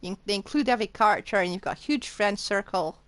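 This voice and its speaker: A woman's voice: medium pitch, quick pace, no echo. A woman live streamer giving casual commentary while she plays a game. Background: game music.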